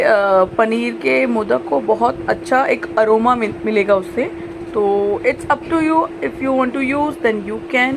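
A woman's voice: fairly high pitch, creaky. A young woman with an Indian accent and a cold and sore throat, casually explaining a recipe as host of a cooking channel, switching between Hindi and English. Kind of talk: casual recipe demonstration voice-over. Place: home kitchen. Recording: home video with noticeable background noise.

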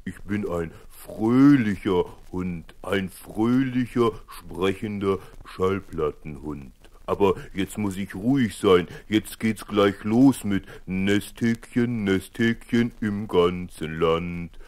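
A man's voice: low voice, takes it slowly, darkish tone. A man playing a cheerful talking record dog, speaking playfully to children in an audio play introduction.

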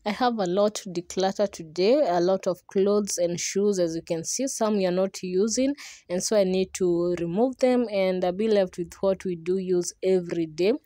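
A woman's voice: mid-range voice, moderate pace, dark tone, no echo. A young woman narrating her homemaking vlog in a friendly, chatty voice-over and speaking directly to her viewers. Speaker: homemaking vlogger.

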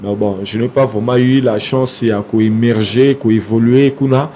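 A Congolese man in his forties with a Kinshasa accent, a singer talking in a casual studio interview, halting with many fillers and restarts. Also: medium pitch, steady tone, warm tone, a little hiss or hum. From Democratic Republic of the Congo. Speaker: singer guest. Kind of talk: casual interview talk mixing Lingala and French. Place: small TV studio, indoors. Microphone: desk mic on stand, close.

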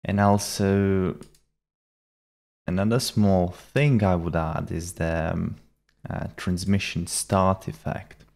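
A young adult man explaining software step by step in a measured, instructional way. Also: sits low, fairly even intonation, vocal fry. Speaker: tutorial presenter. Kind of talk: step-by-step software tutorial narration. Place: small indoor room at a computer.

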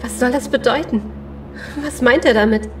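A woman's voice: a little high, moderate pace, background noise, close to an everyday voice. An actress playing an alarmed, questioning female survivor in a horror audio drama.

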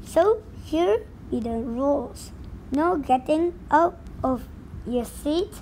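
A woman's voice: sits high, animated, slow, darkish tone, slight background noise, full character voice, poor audio. Woman doing a swaggering pirate bus driver. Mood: hearty. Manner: hearty pirate slang, growling arrs. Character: blustering pirate captain turned bus driver, boastful but easily scared. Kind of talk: storybook read-aloud.

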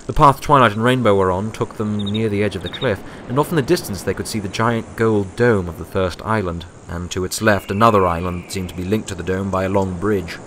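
A man's voice: fairly low pitch, brisk, hardly any pauses, fairly bright tone, a little hiss or hum. A man reading scripted story narration as an audiobook narrator.

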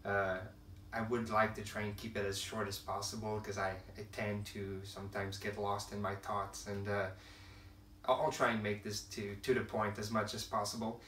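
A young man with a Quebec French accent speaks casually to camera in English, his second language, stopping often to hunt for words. His voice sits a little low. The sound is roomy and distant, with a faint hiss behind it. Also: flat delivery, normal speed, a bit bright. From Quebec, Canada. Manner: hesitant, many fillers and restarts, searching for words. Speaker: channel host. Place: room at home with shelves of films and games.

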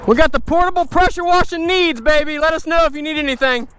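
A man's voice: extremely high pitch, fast, slight background noise. A man vlogging a dirt-bike ride outdoors, casually chatting, laughing and hyping up his riding friends. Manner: excited, laughing, hypes up riders. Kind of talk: casual ride vlog chat. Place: outdoors on trails and hills.

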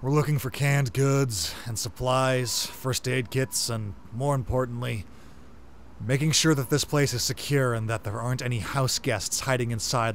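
A man playing a practical survivor group leader in a comic dub, speaking in a measured, instructive way. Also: mid-range voice, slight background noise, close to an everyday voice. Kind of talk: amateur comic-dub voice acting.

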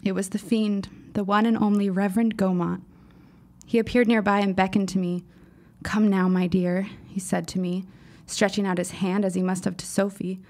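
A woman's voice: medium pitch, level tone, moderate pace, a little mellow, natural voice. An actress playing a precocious fifteen-year-old girl in an audio drama, speaking in formal, dramatic phrases. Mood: insistent. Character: precocious, earnest fifteen-year-old girl writer, shaken but insistent.

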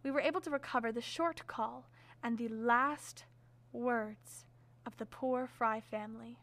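A bright-voiced scholar, echoing hall. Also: female voice, fairly high pitch, steady tone. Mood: grave, ominous. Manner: formal, deliberate, literary. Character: grave, learned scholar recounting eerie horrors. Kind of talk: dramatic first-person horror narration for radio drama. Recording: reverberant hall-like echo.

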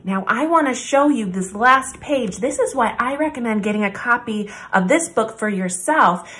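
A woman presenting story time, reading a children's picture book aloud with deliberate, expressive phrasing and pauses. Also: on the high side.

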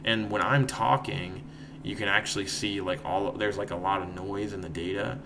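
A young man with a raspy voice, casually walking through code in a home screen-share tutorial, rambling and correcting himself, with guitar notes behind him. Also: deep voice, moderate pace, noisy background. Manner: rambling, self-correcting, relaxed. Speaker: coding tutorial host. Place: home room, indoors. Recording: guitar playing in background. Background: guitar plucks.

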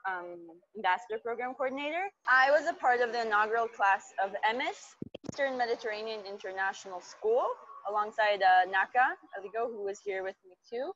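A woman's voice: a little high, fairly quick, a bit bright, a little hiss or hum. A woman in her twenties from Israel introducing herself on a lo-fi video call through an earphone mic. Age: 20s. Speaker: program coordinator. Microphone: wired earphone headset, close to camera. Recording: dull lo-fi video call audio.

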